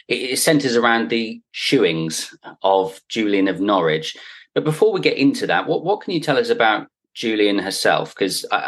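A man with a distinctly low-pitched voice hosts a podcast. He introduces and questions in a conversational interview manner, as one person chatting with another. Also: a bit bright.

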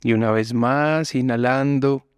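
Slow, smooth-voiced man guiding relaxation. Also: mid-range voice, no echo. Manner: calm, measured instructions with long pauses. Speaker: yoga nidra instructor. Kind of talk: slow guided relaxation meditation.

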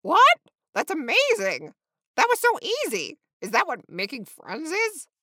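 A woman's voice: fairly high pitch, huge pitch swings, a little slow, pushed and bright, no room sound, studio quality, full character voice. A woman voicing an anxious, lonely young male flamingo who blurts things out dramatically, full of self-doubt, in a children's story. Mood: amazed.

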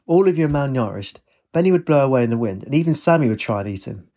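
Man acting a boisterous middle-aged dad, gravelly and lively. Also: mid-range voice, fast, lightly acted. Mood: indignant. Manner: exclamatory, teasing, forthright. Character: boisterous, protective, middle-aged restaurateur dad, big-hearted flirt.

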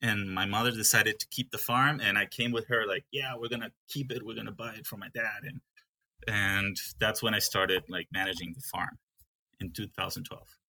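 A man's voice: on the low side, steady tone, moderate pace, sharp tone, poor audio. A young man from Peru who manages a coffee farm, talking in a casual interview and often stopping to restart his sentences. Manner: hesitant, with many restarts.

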